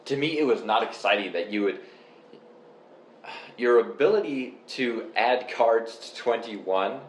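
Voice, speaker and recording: A young man from Canada chatting casually and explaining things step by step in a relaxed, rambling way. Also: medium pitch, a little slow. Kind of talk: casual chat. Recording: echoey room with faint noise.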